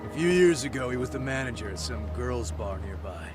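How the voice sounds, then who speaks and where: A man's voice: mid-range voice, expressive, brisk, lightly acted. An actor playing a hostile, hot-tempered male street thug in a game dub, with aggressive, threatening slang. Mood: pushy. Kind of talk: dubbed video game cutscene acting. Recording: music behind the voice.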